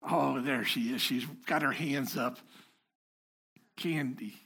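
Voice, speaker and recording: A man with a slightly husky voice gives a relaxed sermon to his congregation. He keeps it conversational and self-deprecating, teasing the people in front of him as he goes. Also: mid-range voice, slow pace. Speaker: lay preacher. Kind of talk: informal sermon. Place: house of worship before a congregation.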